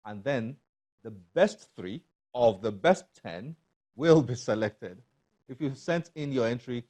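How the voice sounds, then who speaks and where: A man with a Nigerian accent presenting a scripted TV show to viewers, with deliberate emphasis on key phrases. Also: fairly low pitch, a little mellow. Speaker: TV book show host.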